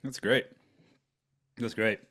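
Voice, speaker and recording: Podcast co-host, man in his 40s, German-born, German native speaker; low voice, loose chatty storytelling full of ums. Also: steady tone, slow pace, sharp tone. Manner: rambling storytelling with many fillers and restarts. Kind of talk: casual podcast conversation.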